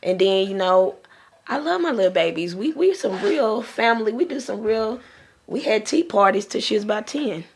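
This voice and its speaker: A woman's voice: medium pitch, lively intonation, normal speed, breathy edge. An American woman talks as a podcast panelist. She is candid and plain-spoken, using informal slang in a loose, conversational style. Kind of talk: casual candid chat.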